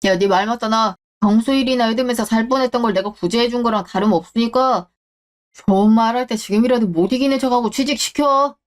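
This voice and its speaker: A woman's voice: on the high side, fairly quick, lightly acted. A woman voicing a smug, shameless middle-aged ex-husband in a radio drama, blustering and talking down to people. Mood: demanding. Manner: blustering, condescending, coarse. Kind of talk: Korean radio-drama story acting.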